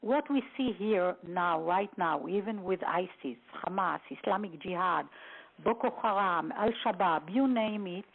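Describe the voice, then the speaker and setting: Woman with a gravelly voice on a phone line. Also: on the low side. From Israel. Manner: halting, with many fillers and restarts. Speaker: guest expert on a phone seminar. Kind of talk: lecture-style briefing.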